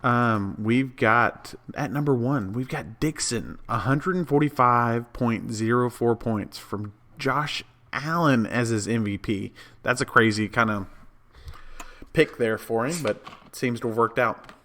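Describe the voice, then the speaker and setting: A sick man with a hoarse voice, co-hosting a casual fantasy football podcast and chatting easily with plenty of fillers. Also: mid-range voice, unhurried, a bit bright. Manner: easygoing, lots of fillers. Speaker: podcast co-host. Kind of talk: casual chat.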